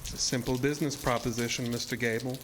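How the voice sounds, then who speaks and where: A man's voice: mid-range voice, steady tone, fast talker, background noise, close to an everyday voice. A man playing a sinister, seductive illusionist showman in a horror audio drama, speaking in a theatrical, formal and insinuating way. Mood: quiet menace. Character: sinister, seductive showman-illusionist, deceptively ageless male villain.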